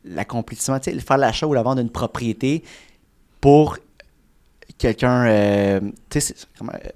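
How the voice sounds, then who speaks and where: A man in his thirties speaks with a Quebec French accent, in the mid pitch typical of men his age. He talks in a loose, casual podcast way, circling back, restarting sentences and padding with fillers as he explains his work. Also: normal speed, a little mellow, clean tone. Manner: rambling, many restarts and fillers. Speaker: real estate broker guest.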